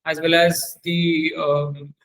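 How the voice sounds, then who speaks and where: A man teaching in a webinar, demonstrating software step by step and often halting to search for words. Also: a little high, little pitch movement. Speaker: webinar trainer.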